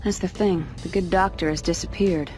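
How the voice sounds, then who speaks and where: An actress playing a brisk, sarcastic female agent in a game cutscene, impatient with her partner. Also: on the low side, steady tone, darkish tone, faint music behind, natural voice. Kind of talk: video game cutscene acting.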